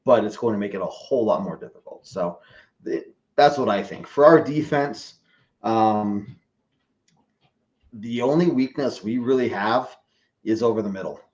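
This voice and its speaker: A man's voice: fairly low pitch, moderate pace, pauses often, a little mellow, highs cut off. A man who is a guest on a sports podcast, giving a casual, rambling football analysis with lots of hesitations and self-corrections. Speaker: podcast guest, team fan.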